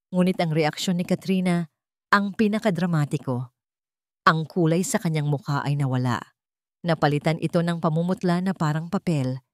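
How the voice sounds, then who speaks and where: A woman with a fairly low voice reads a dramatic story aloud. Her phrasing is measured, with deliberate pauses for weight. The sound is dry, with very little room around it. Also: darkish tone, no room sound. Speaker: storyteller, narrator. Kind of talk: dramatic scripted story narration.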